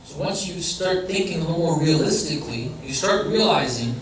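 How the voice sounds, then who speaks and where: A man's voice: fairly high pitch, lively intonation, brisk. Man lecturing in a very echoey hall. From Iranian family background. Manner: conversational, asks the audience questions. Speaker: speaker giving a religious lecture. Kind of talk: religious lecture. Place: large hall or center. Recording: very echoey hall, heard from a distance.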